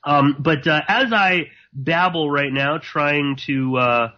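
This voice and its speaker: A man hosting live radio alone, rambling and halting with many fillers, a slight sore throat in his voice. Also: fairly high pitch. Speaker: podcast host. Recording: dull lo-fi.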